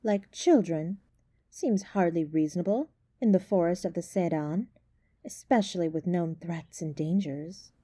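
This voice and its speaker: A woman playing a stern, imperious High Elf archer in a fantasy roleplay, commanding and formal. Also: unhurried, warm tone, husky, no room sound, clean studio sound. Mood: scornful. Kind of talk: fantasy roleplay audio drama.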